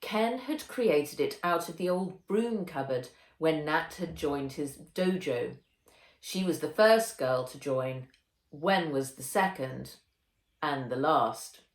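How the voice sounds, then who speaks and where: A woman reading a children's novel aloud as its author, in a measured, expressive storytelling way. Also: deep voice. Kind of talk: children's audiobook narration. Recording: roomy echo.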